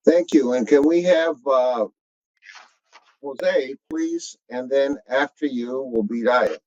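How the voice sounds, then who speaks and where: A man's voice: medium pitch, slow pace, darkish tone, dry recording. Man moderating, dry muffled audio. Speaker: panel moderator. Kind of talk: casual moderating. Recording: dry but muffled online call audio.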